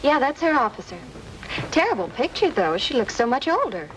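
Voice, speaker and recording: An actress playing a chatty, helpful coffee shop waitress in an old radio drama. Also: female voice, high-pitched, big pitch swings, brisk, poor audio. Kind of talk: 1950s radio drama acting. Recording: old radio recording, faint hiss.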